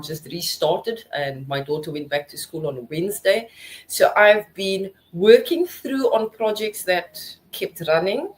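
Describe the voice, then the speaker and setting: A South African woman hosts a relaxed podcast chat. Her voice sits a little low, and she asks chatty follow-up questions over a video call. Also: rough recording. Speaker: podcast host.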